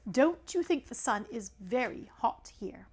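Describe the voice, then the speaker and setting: A woman voicing a pompous know-it-all male python in a storybook, speaking in long-winded, drawn-out pronouncements. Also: a little high, expressive, a little mellow, slight background noise. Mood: smug, instructing. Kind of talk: storybook read-aloud character.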